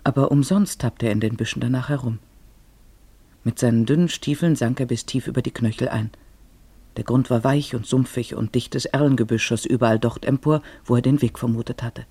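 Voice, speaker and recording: A man narrating a literary radio play in a measured storytelling manner. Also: medium pitch, warm tone, breathy edge, no room sound.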